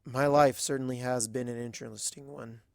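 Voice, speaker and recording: Creaky-voiced expressive fiction narrator. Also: mid-range voice, normal speed, no room sound, clean studio sound. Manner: expressive, dramatic reading. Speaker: audiobook narrator. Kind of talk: scripted first-person fiction narration.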